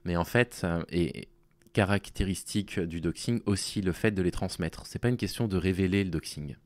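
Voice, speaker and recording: A man speaking informally to his online audience in a halting, hesitant way, with a worn-out, small, tired voice. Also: low voice, no echo. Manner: halting, hesitant delivery with long pauses. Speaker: online video creator. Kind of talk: informal talking to audience, explaining personal situation.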